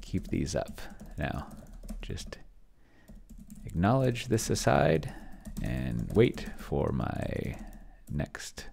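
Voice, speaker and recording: A man's voice: low-pitched, gravelly, mellow, a little hiss or hum. A middle-aged man giving casual livestream commentary, rambling and thinking aloud as he types. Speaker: host of a solo tabletop game video.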